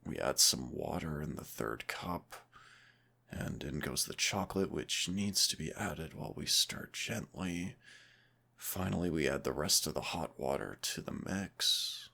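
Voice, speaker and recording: Male actor as caring young-love boyfriend for intimate audio roleplay: very low, gravelly voice, tender and reassuring. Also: lively intonation, unhurried, pushed and bright, heavily acted. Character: affectionate, cautious, playful boyfriend sheltering from a snowstorm. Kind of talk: intimate audio roleplay, one side of a conversation.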